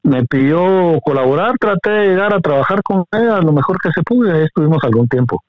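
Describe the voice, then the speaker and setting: A middle-aged Guatemalan man, a veteran broadcaster, reminiscing in an interview in a measured, courteous way. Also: on the high side, animated, no echo. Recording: dry, narrow phone-like line.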